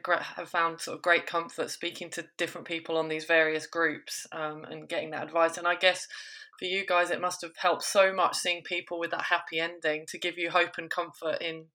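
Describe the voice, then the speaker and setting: A woman's voice: lowish voice, bright, forceful tone. A woman hosting a podcast, asking her guests leading questions in a conversational interview, with some stumbles on words. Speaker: podcast host.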